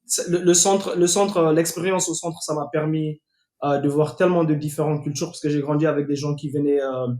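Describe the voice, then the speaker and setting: A man's voice: a little high, steady tone, a little fast, a little mellow. A young man from Guinea, a podcast guest telling his life story in casual talk, with many fillers and restarts. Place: home in Atlanta. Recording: echoey room over a remote call.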